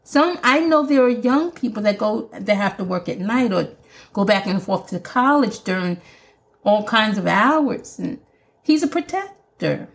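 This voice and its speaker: A woman's voice: medium pitch, expressive, measured pace, vocal fry. A woman with a Jamaican accent hosting a podcast, talking earnestly and emphatically like a sermon. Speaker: podcast host. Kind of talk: preaching podcast monologue.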